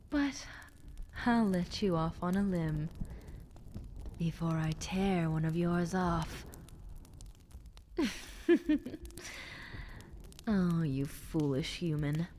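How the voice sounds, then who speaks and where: A woman voicing a menacing, politely condescending female drider in an ASMR roleplay, speaking in a soft, breathy half-whisper. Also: fairly low pitch, a little hiss or hum.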